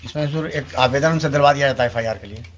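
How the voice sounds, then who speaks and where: An Indian man talks quickly and deferentially, as a lawyer does before a judge. His mid-pitched voice comes through a muffled courtroom recording with clear noise. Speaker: state lawyer addressing the judge. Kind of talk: courtroom submissions.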